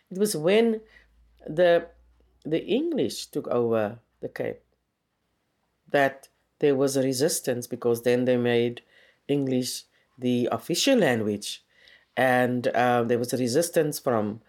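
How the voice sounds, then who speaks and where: Slow, reflective man. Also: medium pitch, darkish tone. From South Africa. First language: Afrikaans. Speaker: poet. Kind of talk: documentary interview.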